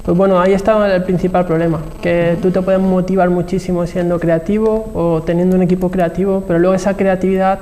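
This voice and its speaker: High-pitched, creaky man from Spain, echoing room. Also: little pitch movement, quick pace, few pauses, dark tone. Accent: Spain Spanish. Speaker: guest expert, marketing professional and trainer. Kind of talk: conversational interview talk. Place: TV programme set, indoors. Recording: reverberant hall-like room, faint background noise.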